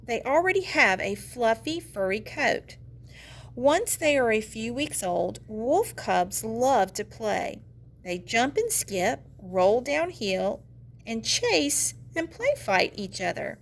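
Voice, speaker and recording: Woman, elementary read-aloud teacher: fairly high voice with marked vocal fry, explanatory delivery aimed at young learners. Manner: explanatory, addresses young learners directly, poses questions. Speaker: teacher reading aloud to students. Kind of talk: instructional read-aloud of a children's nonfiction book with commentary.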